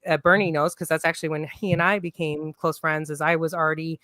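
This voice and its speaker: A man's voice: a little high, little pitch movement, fast talker, pushed and bright, no echo, studio quality. A man speaking as a guest on a casual video-call panel, rambling and upbeat with plenty of fillers. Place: home room on video call.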